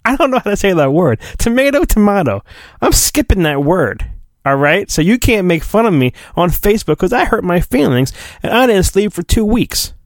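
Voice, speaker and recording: A man's voice: high voice, sing-song, moderate pace, no echo, clean studio sound. A man hosting a podcast, casual and self-deprecating, reading a children's storybook aloud in a playful mock-dramatic way. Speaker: podcast host.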